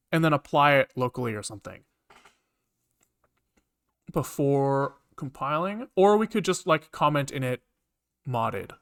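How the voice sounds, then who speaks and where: Clear-voiced man muttering through live coding. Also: fairly high pitch, a bit bright. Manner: rambling, muttering to himself, repeats words; casually profane. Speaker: programmer livestreaming coding. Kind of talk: casual think-aloud coding commentary.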